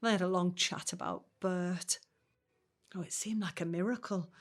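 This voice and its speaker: A woman's voice: on the low side, steady tone, moderate pace, close to an everyday voice. An actress playing a plain-spoken working-class mother in her seventies, grumbling but fond, in a naturalistic audio drama. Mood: fond, nostalgic. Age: 70s.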